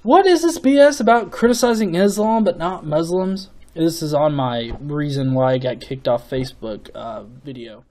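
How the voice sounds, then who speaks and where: A man giving off-the-cuff opinion commentary as a video host, in a casual, sweary manner with halting, repeated words. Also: on the high side, animated, darkish tone. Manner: casual, profanity-laden.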